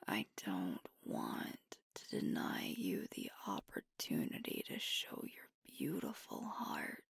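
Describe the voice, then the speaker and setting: A sweet, devoted alpha werewolf girlfriend, played by a woman in a romantic ASMR roleplay. She speaks in a very low, crackly whisper, earnest and soothing, with slow phrasing. The sound is breathy, close and intimate. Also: no echo, full character voice. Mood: gentle concern. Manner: tender, earnest, soothing, unhurried. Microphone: close microphone, dry.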